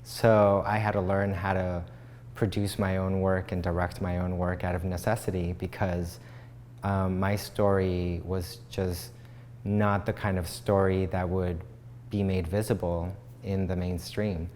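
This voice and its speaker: Man, very low pitch, from Chile, reflective, pausing speech, interview, reverberant room, faint hum. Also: flat delivery, a little mellow. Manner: reflective, measured, with many pauses and ums. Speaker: playwright. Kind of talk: documentary interview. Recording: long hall reverb, faint background hum.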